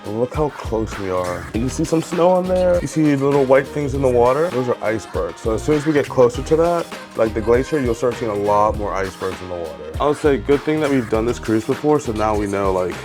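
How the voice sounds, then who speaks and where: A man vlogging on a cruise ship deck, chatty, excitable and teasing in his casual talk. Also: mid-range voice, a little fast, hardly any pauses, music in the background. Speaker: travel vlogger. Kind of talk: casual vlog chat.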